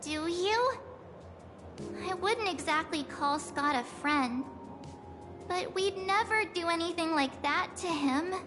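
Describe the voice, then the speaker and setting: A very high, slow-spoken young game heroine, sweet and timid. The halting, earnest delivery sits over clear background music. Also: female voice, lively intonation, heavily acted. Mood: hesitant, worried. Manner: hesitant, halting, soft-spoken. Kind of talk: scripted video game dialogue acting.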